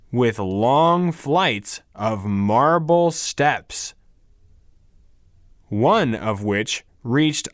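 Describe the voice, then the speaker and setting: Very slow, expressive male reader. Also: on the high side, no room sound, studio quality. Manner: deliberate, measured enunciation. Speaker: English reader for a vocabulary lesson. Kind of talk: slow, careful scripted reading of words and storybook narration.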